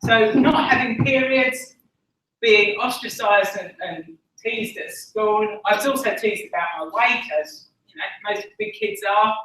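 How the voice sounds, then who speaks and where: An Essex-accented woman tells her own story at a conference, candid and earnest, often pausing on "um" and slipping in wry jokes about herself. Her voice is bright and clear. It reaches the mic from some distance across a large, strongly echoing hall. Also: a little high. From Essex, England. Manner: candid and earnest, with frequent ums and self-deprecating asides. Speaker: conference speaker. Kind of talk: personal testimony speech. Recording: echoey hall from far off.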